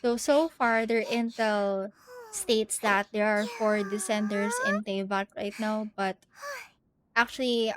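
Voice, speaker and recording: A woman chatting casually on a game livestream, thinking aloud in a hesitant, giggly way, with game music behind her. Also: a little high. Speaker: game streamer. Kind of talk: casual livestream chat while playing.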